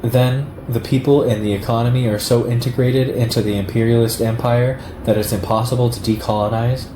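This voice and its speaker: A man reading a political essay aloud in a measured, deliberate way. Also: mid-range voice, steady tone. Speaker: reader. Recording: roomy echo, clear background noise.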